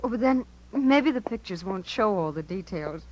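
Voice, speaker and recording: An actress playing an earnest, devoted young small-town niece in a 1950s radio drama. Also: female voice, medium pitch, expressive, normal speed, darkish tone, highs cut off, lightly acted. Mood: polite, flustered. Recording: hissy, humming 1950s radio recording.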